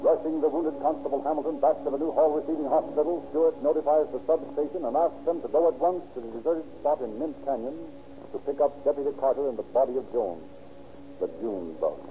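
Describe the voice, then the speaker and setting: A man's voice: on the high side, fast talker, pauses often, a little hiss or hum. Tinny old-time radio narrator, male. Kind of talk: dramatic scripted 1930s radio narration.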